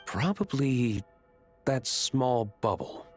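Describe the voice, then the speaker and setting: An actor playing a reserved, serious young nobleman in a video game, with a measured, dry delivery. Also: mid-range voice, big pitch swings, faint music behind.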